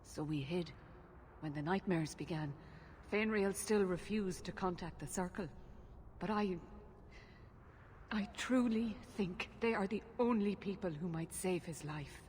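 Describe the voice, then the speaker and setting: A woman's voice: on the low side, measured pace, a little mellow, slight background noise, lightly acted. An actress playing an anxious elven mother who pleads earnestly for help finding her son, in a game cutscene.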